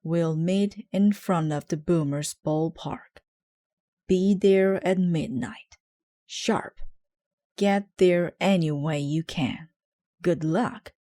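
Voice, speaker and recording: An eager little boy mouse played by a woman captains a storybook ball team. He exclaims bossy, rallying orders at a slow, deliberate pace. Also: lowish voice, dark tone. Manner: commanding, exclaiming.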